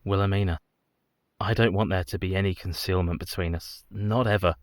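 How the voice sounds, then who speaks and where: Deep, haunted young husband. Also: male voice, steady tone, fairly quick, dry recording, studio quality. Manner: solemn, formal. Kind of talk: radio play acting.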